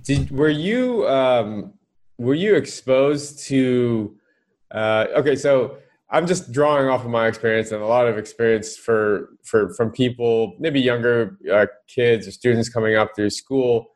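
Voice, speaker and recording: A man's voice: mid-range voice, measured pace. A man hosting a podcast interview, asking long rambling questions with fillers. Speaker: podcast host. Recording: faint music behind the voice.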